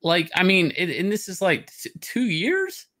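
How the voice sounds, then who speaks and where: A man's voice: sits high, big pitch swings, a little slow, pushed and bright. Man ranting casually on a livestream. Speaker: stream host. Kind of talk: casual opinionated rant on a livestream. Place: indoor room, livestream on webcam.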